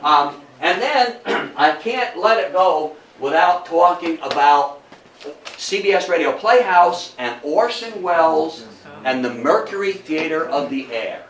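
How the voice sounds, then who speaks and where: An older man with an American accent gives an informal talk in a folksy, emphatic storytelling manner. His voice sits a little high for a man. It is picked up from a distance in a roomy space with faint noise. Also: animated, measured pace, fairly bright tone. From United States. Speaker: guest lecturer on old-time radio history. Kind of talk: informal public lecture with anecdotes. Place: indoor talk to a live audience at a historical society.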